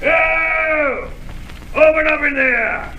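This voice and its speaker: An actor playing a gruff, secretive old sea captain in a 1930s radio drama, barking orders and dropping to a menacing mutter. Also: male voice, very slow, dull audio, very noisy, exaggerated voice. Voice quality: rough, barking seaman's growl. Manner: bellows orders, drops to a low menacing mutter. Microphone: radio studio microphone.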